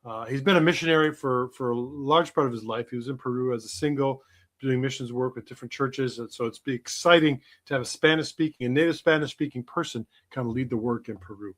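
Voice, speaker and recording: A man's voice: mid-range voice, quick pace, fairly bright tone. A male podcast co-host with a mid-range voice who talks in a loose, rambling, conversational way. He drifts through his introduction with plenty of fillers. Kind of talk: casual podcast talk.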